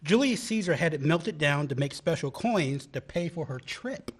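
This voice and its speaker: Man acting as a young male grad student, obsessive, jokey, lively pitch, amateur radio play. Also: moderate pace, breathy edge. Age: young adult. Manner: playful, laughs at own jokes.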